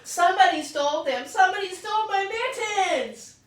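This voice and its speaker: Brief: a woman doing a hyper, dramatic little girl, squeaky and lively, storybook reading, roomy echo. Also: normal speed. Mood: panicked. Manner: shrill, shouting, childlike grammar. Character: excitable little kindergarten girl, loud and dramatic. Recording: echoey room, distant mic.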